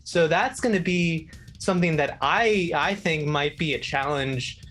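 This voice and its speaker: A man's voice: a little high, measured pace, fairly bright tone. A man speaking as a show guest, explaining things casually and thinking aloud. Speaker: video game contributor, show guest.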